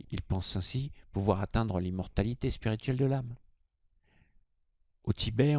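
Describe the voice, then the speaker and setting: A low male voice with noticeable creak, recorded very dry and close-sounding. He speaks as the host of an online video, presenting to his viewers. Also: darkish tone, no room sound. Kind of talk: informal video greeting, then scripted explanatory narration.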